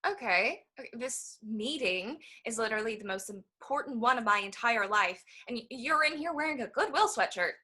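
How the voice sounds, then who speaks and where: An actress playing a tense, ambitious young writer, an impatient older sister, in a stage play. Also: female voice, a little high, expressive, moderate pace, hardly any pauses, bright, forceful tone, husky, full character voice. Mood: exasperated. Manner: impatient, cutting, swears. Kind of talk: naturalistic one-act stage play.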